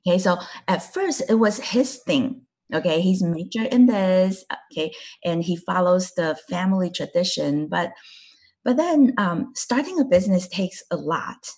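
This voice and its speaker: A woman's voice: lowish voice, lively intonation, a little slow, a little mellow, breathy edge. A middle-aged woman from Taiwan, a native Chinese speaker, chatting as a guest and telling her story with a laugh now and then. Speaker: guest, clinic co-owner. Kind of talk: casual interview chat.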